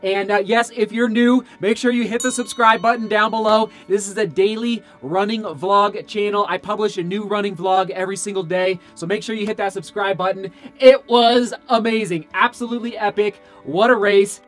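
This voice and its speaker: A man in his thirties, a little hoarse and tired, breaking down race results to camera in casual, rambling, enthusiastic talk from a small home studio. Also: sits high, fairly even intonation, moderate pace, hardly any pauses, fairly bright tone, soft background music. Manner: enthusiastic, rambling with fillers and self-corrections. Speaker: running vlogger. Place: small home studio with shoe brand boxes.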